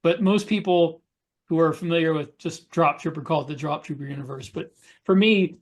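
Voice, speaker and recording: A man's voice: on the high side, moderate pace. A man who is an author, explaining things casually as a podcast panelist.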